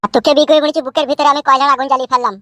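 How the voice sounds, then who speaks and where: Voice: high voice, fairly even intonation, racing, pushed and bright, no echo. A performer voicing a hapless, henpecked young village husband in a cartoon comedy, complaining and full of self-pity. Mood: regretful, bitter. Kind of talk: Bengali cartoon comedy dialogue.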